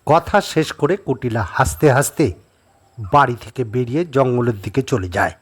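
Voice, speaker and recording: A man's voice: mid-range voice, normal speed, husky. A man narrating a horror audio story as a dramatic scripted reading.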